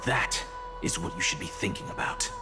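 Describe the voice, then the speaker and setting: A man playing a haughty, sharp-tongued professor in a video game, lecturing in an imperious, deliberate way. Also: deep voice, wildly swooping pitch. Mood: stern, lecturing. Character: haughty, sharp-tongued male professor, stern mentor to a student. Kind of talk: scripted game voice acting. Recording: music behind the voice.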